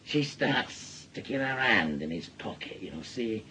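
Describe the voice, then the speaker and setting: An actor playing a bitter, menacing wronged husband in a radio drama, with a Northern English accent and a goading, rambling way of telling things. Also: male voice, medium pitch, animated, measured pace, a crowd in the background, close to an everyday voice. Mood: sardonic, bitter. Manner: goading, rambling storyteller with taunting tag questions. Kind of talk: naturalistic radio drama acting.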